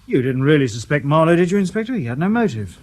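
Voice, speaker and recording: An actor playing a dutiful male police sergeant in a radio drama, reporting quickly. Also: fairly high pitch, sing-song, a little mellow.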